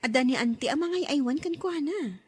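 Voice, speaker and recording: A woman's voice: high-pitched, animated, moderate pace. A woman with a Filipino accent playing a busy, career-minded young mother and wife in a radio drama. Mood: pleased, busy.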